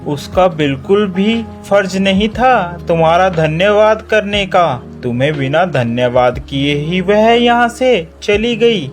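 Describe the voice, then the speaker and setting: A man's voice: sits high, expressive, slow, few pauses, faint music behind, pitched above the performer's own voice. A man voicing an indignant, blunt male crow in a cartoon story, scolding and emphatic.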